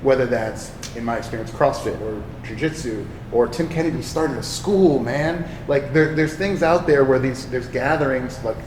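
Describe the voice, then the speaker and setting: A man's voice: medium pitch, moderate pace, hardly any pauses. A man from Virginia hosting a podcast, musing and agreeable, with some profanity. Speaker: podcast host. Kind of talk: casual podcast conversation. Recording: echoey room, distant mic, noisy.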